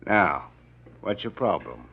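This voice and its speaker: A man's voice: low-pitched, sing-song, slight background noise, full character voice. An American man playing a shady, needling private sleuth in a 1940s radio drama, slangy and mocking. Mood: sly. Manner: slangy, mocking, drawn-out insinuation. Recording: dull vintage radio recording.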